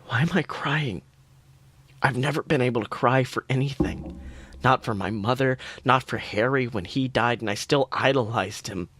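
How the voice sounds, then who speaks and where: A man's voice: medium pitch, fairly bright tone, no room sound, natural voice. A man voicing a grieving, bewildered young man with a pleading, childlike manner in a story read aloud. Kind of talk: fan fiction read aloud.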